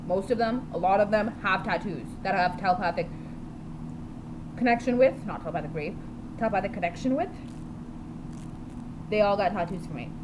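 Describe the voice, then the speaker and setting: A woman talking in an unscripted video diary, rambling and jumping from topic to topic, blunt and quick to swear. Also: mid-range voice. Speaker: vlogger. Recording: steady background noise with passing vehicles.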